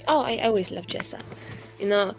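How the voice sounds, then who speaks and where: A woman's voice: medium pitch, brisk. A woman improvising in character in a tabletop roleplaying game, chatty and hesitant as she rambles. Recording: music behind the voice. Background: background music.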